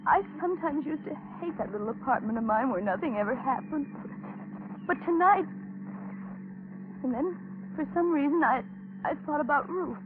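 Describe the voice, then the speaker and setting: An actress playing a frightened, lonely young waitress, tense and hesitant, in a 1940s radio thriller. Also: female voice, high voice, animated, moderate pace, pauses often, background noise. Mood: fearful, wistful. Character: frightened, lonely young waitress held captive, telling her ordeal. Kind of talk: 1940s radio thriller acting and first-person narration. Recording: tinny 1940s radio recording with music.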